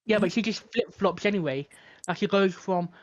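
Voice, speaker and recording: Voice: mid-range voice, normal speed. A podcast co-host debating a film in a casual chat, pushing back again and again with counterpoints. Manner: argumentative, pushes back repeatedly.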